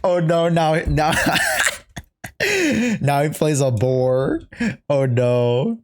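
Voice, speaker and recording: A man's voice: on the high side, big pitch swings, very slow, darkish tone. A man giving casual reaction commentary on a game, speaking bluntly, swearing and repeating himself as he reasons aloud. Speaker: streamer reacting to a video game story.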